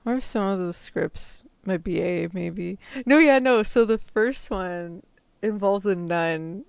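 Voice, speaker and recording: Casual female streamer, slow and creaky delivery, loose phrasing, close dry mic. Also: mid-range voice, no room sound. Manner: casual, loose, filler-heavy speech with frequent "like". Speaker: VTuber streamer practicing ASMR roleplay. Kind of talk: casual livestream chat, roleplay script practice.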